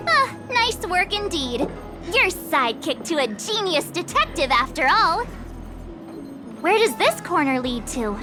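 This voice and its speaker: Very high-pitched, excitable girl sidekick. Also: measured pace, music in the background, full character voice. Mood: curious, playful. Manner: eager, dramatic, chatty. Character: excitable girl, self-styled sidekick to a genius detective. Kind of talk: video game voice acting.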